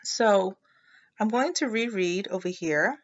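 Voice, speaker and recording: A woman's voice: mid-range voice, expressive, slow pace, lo-fi sound. A woman teacher leading a children's read-aloud lesson, explaining as she thinks aloud and prompting listeners with questions.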